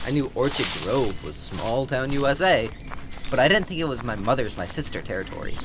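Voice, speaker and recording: A man's voice: mid-range voice, very animated, brisk, very noisy, lightly acted. An actor playing a sarcastic young guy, ranting with comic indignation in a radio drama. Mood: incredulous. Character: mouthy, sarcastic, incredulous wisecracking young guy.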